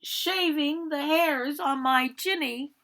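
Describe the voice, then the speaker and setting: A woman voicing a rude little male pig in a storybook, loudly and defiantly shooing a visitor away. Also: high-pitched, slow, pitched above the performer's own voice, full character voice. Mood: defiant, yelling. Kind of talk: animated storybook read-aloud.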